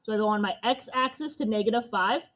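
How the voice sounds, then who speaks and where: A young woman with a loud voice, teaching a math class step by step. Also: a little high, a little fast. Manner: step-by-step explaining to students.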